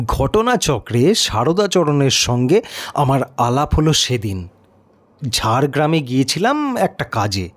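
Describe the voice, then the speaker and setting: Guy reading an audio story, slow and expressive, really lively pitch. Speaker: story narrator and reader. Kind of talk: dramatic scripted audio story narration.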